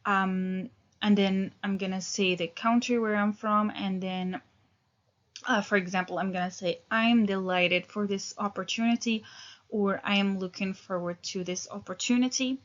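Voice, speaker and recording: A woman in her thirties teaching an English lesson, talking in a relaxed, hesitant way with many fillers and restarts. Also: mid-range voice, moderate pace, lo-fi sound. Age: 30s.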